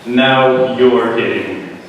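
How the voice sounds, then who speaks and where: A man's voice: medium pitch, extremely slow, lo-fi sound. A man playing an impatient, insolent younger son in a dramatic reading, brash and sarcastic. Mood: incredulous. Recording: echoey hall, distant mic, faint noise.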